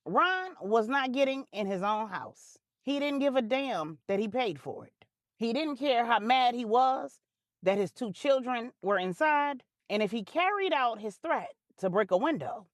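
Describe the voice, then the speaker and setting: A woman's voice: a little high, dry recording, clean studio sound. A woman narrating a scripted drama story for adults in a dramatic, colloquial storytelling manner with slangy touches.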